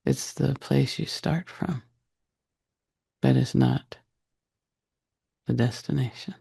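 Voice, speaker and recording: Guy doing guided meditation, really slow n deliberate, mellow breathy voice, short phrases w big pauses. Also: mid-range voice.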